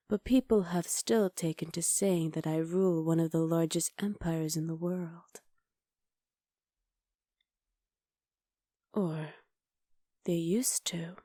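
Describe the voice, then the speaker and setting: Low, velvety, imperious witch, acted by a woman. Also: dark tone, dry recording, studio quality. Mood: haughty. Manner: imperious, measured, formal diction. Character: haughty, menacing, powerful witch conqueror, a human woman. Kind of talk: ASMR roleplay.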